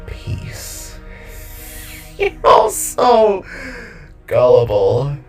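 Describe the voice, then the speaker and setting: A man voicing a defeated villain king in an audio drama, bitter and gleefully unhinged, with a groggy, drawn-out, rambling delivery. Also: fairly high pitch, wildly swooping pitch, far from the mic, voice pushed up, full character voice. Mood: mocking, groggy. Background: music.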